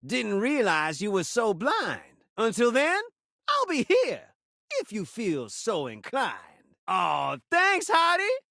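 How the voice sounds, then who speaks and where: A man's voice: high-pitched, huge pitch swings, slow, creaky, sharp tone, no room sound, studio quality, exaggerated voice. A man voicing a flamboyant talking television in a video game, a sassy, flirty show-off speaking in theatrical rhyming patter. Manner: showman-like, rhyming, teasing.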